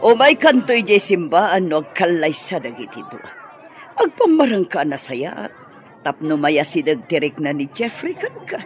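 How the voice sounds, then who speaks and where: A woman's voice: lowish voice, very animated, a little slow, slight background noise. A woman playing a conniving older mother in an Ilocano radio drama, speaking theatrically with an Ilocano accent. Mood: plotting. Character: conniving older mother plotting for her daughter.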